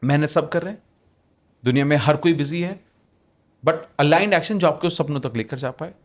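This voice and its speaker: A man's voice: fairly high pitch, moderate pace. A man on a podcast as a guest author, explaining ideas emphatically with rhetorical questions and English phrases mixed into his talk.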